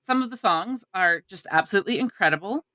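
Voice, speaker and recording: A woman's voice: mid-range voice, lively intonation, quick pace, sharp tone, no room sound. Emphatic woman in casual chat, dry close sound. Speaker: podcast co-host. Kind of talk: casual panel chat about film adaptations.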